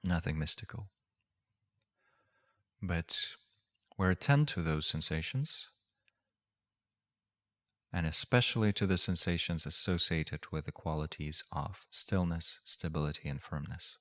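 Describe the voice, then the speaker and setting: Low-voiced man guiding a meditation. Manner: gentle, unhurried, with long pauses. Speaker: meditation teacher. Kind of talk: guided meditation.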